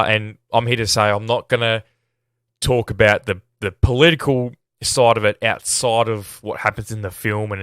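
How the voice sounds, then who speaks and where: A man's voice: on the low side, a bit bright, clean tone, dry recording, clean studio sound. An Australian man hosting a casual film review podcast while sick, talking in a rambling, self-deprecating, hesitant way. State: sick, voice at risk of giving out.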